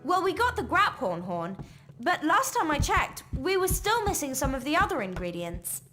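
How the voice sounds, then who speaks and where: A clever teenage witch at school, very high-voiced and British. Her delivery swings expressively, brisk and a little bossy, in video game cutscene style with music underneath. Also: wildly swooping pitch, bright, forceful tone, exaggerated voice. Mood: brisk, concerned.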